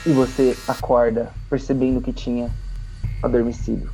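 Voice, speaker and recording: Mellow Brazilian man narrating over music. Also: mid-range voice, flat delivery, moderate pace. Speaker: game master. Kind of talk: narrating a tabletop roleplay audio drama. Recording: muffled lo-fi audio under music. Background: background music.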